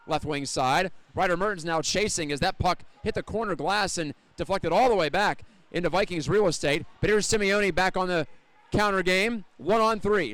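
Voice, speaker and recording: A man's voice: fairly high pitch, a bit bright, no echo. A man with an American accent calling live hockey play-by-play, fluent and descriptive, lifting his energy with the action. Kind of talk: live sports commentary.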